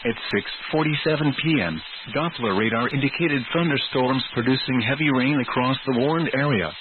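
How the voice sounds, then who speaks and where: A tinny, noisy radio signal carries a man's mid-pitched voice. He reads emergency weather bulletins as a scripted announcement, formal and clipped, with a synthetic feel. The sound is narrow like a phone line, with obvious static. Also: moderate pace. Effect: weather radio broadcast.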